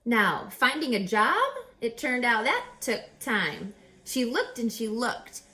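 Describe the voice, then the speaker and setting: A woman reading a rhyming children's picture book aloud as a teacher, with theatrical, deliberate, emphatic phrasing. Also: fairly high pitch, very animated, pushed and bright. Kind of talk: expressive children's read-aloud of rhyming verse.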